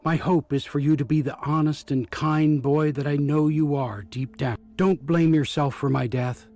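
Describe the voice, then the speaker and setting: A man playing a kind, loving father in a video game, reading a letter in a gentle, measured way. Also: a little high, fairly even intonation, close to an everyday voice. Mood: tender. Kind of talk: scripted video game voice acting. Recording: music under the voice.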